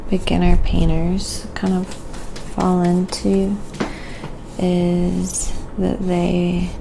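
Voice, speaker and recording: Female ASMR painting streamer, slow-paced and murmured, strong vocal fry, noticeable noise behind the voice. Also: lowish voice, completely flat delivery. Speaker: live-streaming painter and host. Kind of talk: quiet ASMR painting livestream chat.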